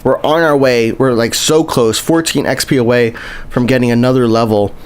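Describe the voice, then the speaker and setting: A man's voice: mid-range voice, steady tone, normal speed, clean tone. Chatty male game streamer, rambling. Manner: rambling and chatty, lots of restarts, greets chat viewers. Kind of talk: casual live-stream commentary while playing.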